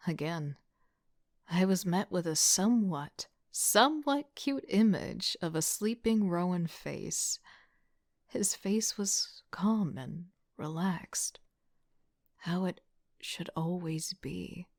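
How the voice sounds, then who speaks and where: A woman playing a young team leader who narrates an audio drama in a reflective, softly breathy, introspective way. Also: on the low side, animated, slow pace, no room sound, clean studio sound, full character voice.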